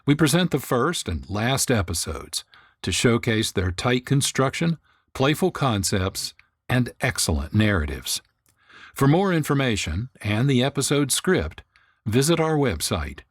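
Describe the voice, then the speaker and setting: Male host with lively, varied intonation. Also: medium pitch, unhurried, no echo. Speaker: host and producer. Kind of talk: scripted radio introduction.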